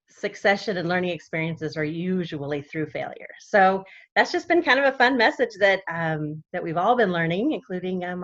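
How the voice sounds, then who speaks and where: A woman hosting an online workshop, giving a relaxed, improvised welcome. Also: fairly low pitch. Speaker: workshop host. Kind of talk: casual welcome talk. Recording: dull lo-fi sound.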